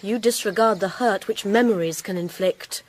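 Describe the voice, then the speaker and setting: An actress playing a proud, guarded rector's wife in a Victorian radio drama, speaking formally. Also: female voice, medium pitch, normal speed, a little hiss or hum, close to an everyday voice. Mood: reserved, proud. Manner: formal, crisp-worded, defensive. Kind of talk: period radio drama acting.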